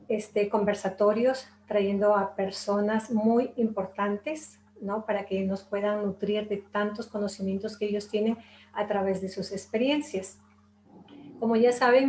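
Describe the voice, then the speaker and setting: A woman's voice: mid-range voice, darkish tone. A woman hosting a webinar, introducing her guest in a chatty way with many fillers. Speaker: host, hypnotherapist. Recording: echoey room, faint noise.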